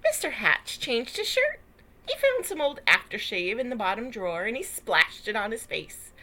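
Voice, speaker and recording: Lady doing storytime for kids, really swoopy expressive voice, bright and dramatic. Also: on the high side, normal speed, rough recording. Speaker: storyteller reading a picture book aloud to children. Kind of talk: animated read-aloud narration with asides.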